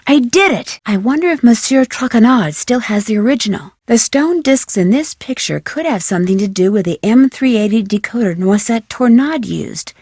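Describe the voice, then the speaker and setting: An American woman playing a curious, plucky, polite young detective in an adventure video game, with clear, inquisitive delivery. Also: medium pitch, a little mellow, no room sound, clean studio sound. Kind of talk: scripted adventure game dialogue.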